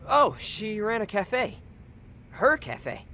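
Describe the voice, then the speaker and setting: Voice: mid-range voice, big pitch swings, slow pace, pushed and bright, slight background noise, exaggerated voice. An actor playing a cheeky but earnest teenage boy in a video game dub, talking in offhand, youthful slang. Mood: earnest, worried.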